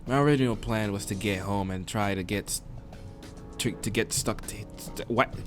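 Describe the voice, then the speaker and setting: A man's voice: on the low side, normal speed, over music. Man reading a visual novel aloud. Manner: stumbles and rereads lines. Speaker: gaming channel host.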